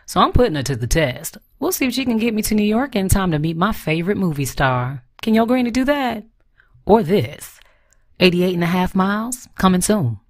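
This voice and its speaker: A woman's voice: medium pitch, big pitch swings, normal speed, no room sound, clean studio sound, voice pushed up. A woman voicing a playful, cheeky grandchild narrating an upbeat comedy film trailer. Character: playful grandchild telling of adventurous granny.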